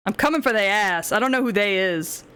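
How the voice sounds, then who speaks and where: A young woman with a deep, smoky voice, chatting and cursing casually while streaming a game. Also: lively intonation, fairly quick, a bit bright, clean tone. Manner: crude, swears, laughs, sings along. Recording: faint music behind.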